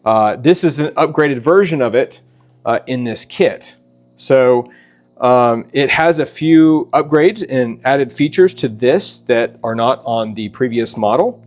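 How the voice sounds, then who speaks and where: A man hosting a casual product walkthrough, talking in an informal, halting and rambling way. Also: mid-range voice, darkish tone. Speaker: host of a preparedness channel.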